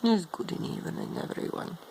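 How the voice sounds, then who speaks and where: A woman playing an ancient female vampire demon in an improvised role play, speaking in a haughty, teasing, seductive way with a gravelly voice. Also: dark tone, a little hiss or hum, natural voice. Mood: condescending, coaxing. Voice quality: gravelly, low, creaky. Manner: slow, haughty, teasing, calls listener darling. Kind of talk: improvised in-character role play monologue to viewer.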